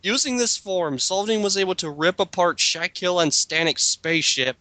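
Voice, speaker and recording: A man's voice: sits high, expressive, moderate pace, sharp tone, dry recording. A man reading a text aloud as lead reader of an amateur dramatic reading, breaking into sarcastic, incredulous casual commentary.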